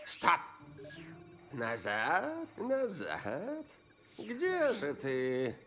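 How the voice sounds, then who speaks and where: An actor voicing a scheming, gleeful old villain scientist in a dubbed cartoon, with theatrical delivery. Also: male voice, a little high, wildly swooping pitch, very slow, sharp tone, soft background music, full character voice. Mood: excited scheming. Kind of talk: Russian cartoon dub villain.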